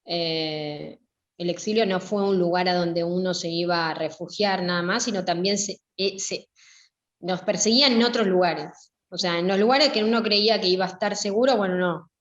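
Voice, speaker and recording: A woman with an Argentine accent speaks in a fairly low voice as a guest in a relaxed interview. She talks reflectively, often stopping and restarting mid-phrase. The recording is dull and lo-fi, with a roomy echo around her voice. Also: moderate pace. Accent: Argentine Spanish. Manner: reflective, searching for words. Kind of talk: conversational interview. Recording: echoey, dull lo-fi sound.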